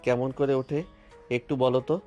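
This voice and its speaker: A man voicing a devoted, earnest young lover with a tender, even delivery in a romantic audio drama. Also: medium pitch, normal speed, soft background music, close to an everyday voice. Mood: playful. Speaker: voice actor.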